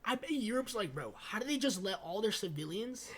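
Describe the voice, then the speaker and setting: A man's voice: high-pitched, big pitch swings, a little fast. A young American man chatting casually as a podcast co-host, with slangy speech full of swearing. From United States. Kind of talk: casual chat.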